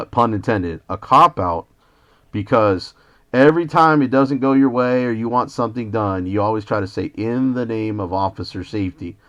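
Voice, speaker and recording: A man's voice: fairly low pitch, normal speed, fairly bright tone. An American man, a citizen journalist on a phone call, arguing in an indignant, lecturing manner as he confronts the police. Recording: dull lo-fi phone call recording.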